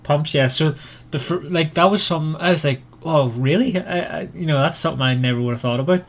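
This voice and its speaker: A man casually discussing a book as a podcast co-host, often halting and repeating words. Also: on the high side.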